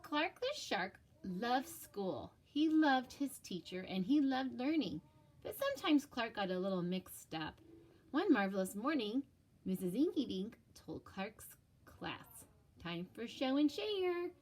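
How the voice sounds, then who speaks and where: A woman teacher reads a picture book aloud to children. Her voice is fairly high and very lively, and she goes slowly, leaning deliberately on key words. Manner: animated, deliberate emphasis. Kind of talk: expressive children's storybook read-aloud.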